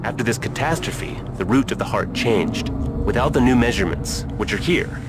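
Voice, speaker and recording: An actor playing a weary, idealistic scientist in a sci-fi game, speaking earnestly and deliberately. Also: male voice, mid-range voice, animated, over loud music, lightly acted. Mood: grave, explaining. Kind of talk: video game cutscene acting.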